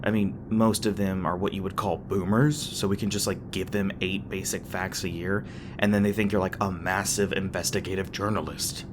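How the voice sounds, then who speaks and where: A man's voice: deep voice, little pitch movement, normal speed, close to an everyday voice. A man playing a teasing, crass but caring demon boyfriend in a conversational audio roleplay, talking casually with some hesitation. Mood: upbeat, candid. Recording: clearly noisy background with ambient sound effects.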